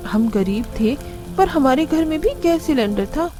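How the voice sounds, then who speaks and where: A woman acts out a naive young bride in a cartoon family drama. The voice is high and girlish, over clearly audible music. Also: animated, unhurried. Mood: complaining. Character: young new bride, naive, dismayed by old-fashioned in-laws. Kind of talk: scripted cartoon dialogue.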